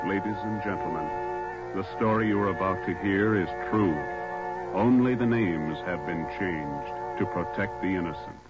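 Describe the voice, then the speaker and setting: A man's voice: sits low, normal speed. A man announcing a 1940s radio program in a formal, solemn scripted style. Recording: dull old radio recording. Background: loud music.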